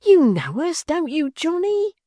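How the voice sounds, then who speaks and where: A woman's voice: very high voice, very animated, a little slow, warm tone, dry recording, studio quality, pitched above the performer's own voice, exaggerated voice. A woman voicing a little, weepy, tender-hearted male church clerk who whimpers and pleads. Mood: coaxing.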